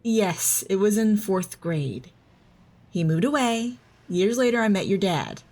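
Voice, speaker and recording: A woman's voice: medium pitch, big pitch swings, measured pace, darkish tone. A woman playing a loving, teasing mother in an audio drama, both telling the story and talking with her child. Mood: playful, teasing. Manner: reassuring, teasing. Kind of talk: audio drama narration and dialogue. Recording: music under the voice. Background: music.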